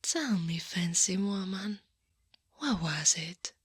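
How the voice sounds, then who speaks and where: Slow, half-whispering Krampus woman, acted by a woman. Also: on the low side, animated, no echo, clean studio sound, heavily acted. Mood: probing, stern. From Italy. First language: Italian. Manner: slow, soft, breathy, often whispering. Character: eight-foot horned furry Krampus woman, teasing, coaxing, in control. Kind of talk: ASMR monster roleplay, soft half-whispered.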